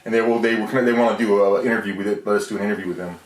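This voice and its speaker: An American man hosting a casual chat show, jokey and rambling, with halting restarts. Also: fairly low pitch, steady tone. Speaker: podcast host. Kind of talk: casual beer review chat. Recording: roomy, distant mic, faint noise.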